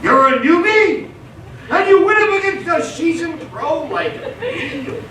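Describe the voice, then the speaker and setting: A man's voice: very high-pitched, expressive, a little slow, sharp tone, exaggerated voice. A man playing a seasoned, exasperated supervillain bomber in a comic radio play, with a sarcastic, indignant, theatrical delivery. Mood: shocked, indignant. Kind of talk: stage radio theatre comedy acting. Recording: echoey hall, distant mic, faint noise.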